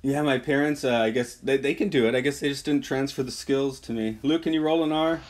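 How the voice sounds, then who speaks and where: A man's voice: medium pitch, fairly quick. Easygoing man co-hosting. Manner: laughs often, easygoing. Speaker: podcast co-host. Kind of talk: casual chat.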